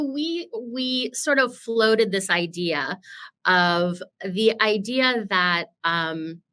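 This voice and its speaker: A woman's voice: mid-range voice, pushed and bright. A woman hosting an interview for a webinar, introducing topics and putting questions to a guest. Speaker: interview host, business founder.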